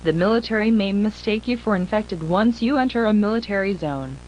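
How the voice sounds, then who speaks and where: A woman playing a stern emergency broadcast announcer in an analog horror video, reading grave warnings in a formal, impersonal, measured way. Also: medium pitch, animated, darkish tone, natural voice, rough recording. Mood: urgent warning.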